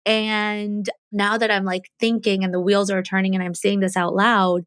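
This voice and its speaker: A young woman with an American accent hosts a casual interview podcast. Her voice sits at a medium pitch in a dry, close recording, and she talks thoughtfully, as if working out her ideas aloud. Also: flat delivery, normal speed, clear, resonant tone, dry recording. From United States. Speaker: podcast host.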